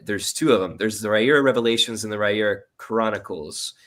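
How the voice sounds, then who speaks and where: Bright-voiced man, slightly low, podcast guest. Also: fairly quick. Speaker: guest writer and sound designer. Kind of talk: casual interview chat.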